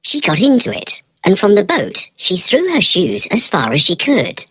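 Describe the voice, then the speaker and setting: A woman's voice: medium pitch, sing-song, moderate pace, a bit bright, husky, no echo. A woman narrating a children's storybook from a script.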